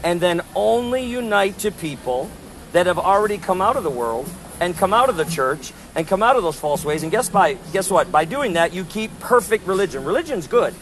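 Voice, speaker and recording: A loud, emphatic man preaches by a street, citing verses and driving each point home. His voice sits a little high. Faint outdoor traffic hums behind him. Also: expressive, normal speed, poor audio. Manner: emphatic, scripture-quoting, insistent. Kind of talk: impassioned preaching conversation.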